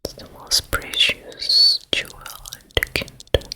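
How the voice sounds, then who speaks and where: A woman voicing a vain, commanding fairytale queen in a hushed, deliberate whisper for an ASMR roleplay. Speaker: ASMR performer.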